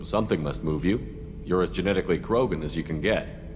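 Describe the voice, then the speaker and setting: Brisk, low-voiced actor as male starship commander. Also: background noise, natural voice. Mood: resolute. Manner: direct, businesslike, terse. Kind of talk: video game voice acting.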